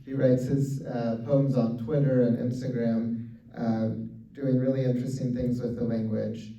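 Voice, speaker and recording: A man with a flat, very dark voice gives casual, upbeat event announcements, filling gaps with "um" and "uh." He is heard from a distance in an echoey hall, and the sound is a little muffled. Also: mid-range voice, measured pace. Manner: casual, many fillers, upbeat. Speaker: event organizer and host.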